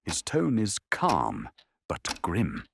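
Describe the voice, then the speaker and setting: A man's voice: sits low, wildly swooping pitch, slow pace, vocal fry, background noise. A man narrating an anime audio drama in a dramatic, scripted storytelling style.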